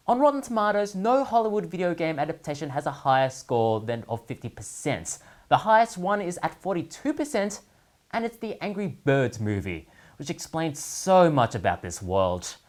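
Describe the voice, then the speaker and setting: A man presenting scripted comedic commentary as a show host, with a sardonic, mock-serious delivery of punchlines. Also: on the high side. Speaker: host of a gaming news segment.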